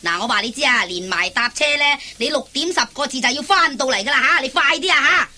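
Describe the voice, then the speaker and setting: A piercingly bright, high voice: a domineering wife in a comic radio play. She scolds, commands and questions with suspicion in a Hong Kong Cantonese accent. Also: quick pace, heavily acted. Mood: bossy, nagging. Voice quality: shrill, sharp. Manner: nagging, commanding. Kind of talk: Cantonese radio comedy acting.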